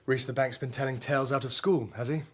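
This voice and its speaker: An actor playing a weary, principled young doctor in a radio drama, speaking in an earnest, wry way. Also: male voice, medium pitch, fairly even intonation, fast talker, a bit bright, husky. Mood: weary, guarded.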